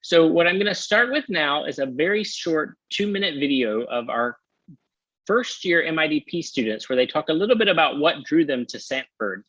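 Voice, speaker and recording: A man's voice: on the high side, lively intonation, moderate pace, a bit bright, dry recording, highs cut off. A man presenting a university program in a webinar over a video call, friendly with frequent ums. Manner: friendly, many filler ums.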